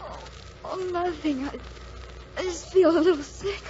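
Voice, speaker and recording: An actress playing a frail, frightened, loving young wife with tremulous, faltering 1940s radio delivery. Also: female voice, extremely high pitch, fairly even intonation, measured pace, dark tone. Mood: weak, pleading. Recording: muffled, noisy 1940s radio recording.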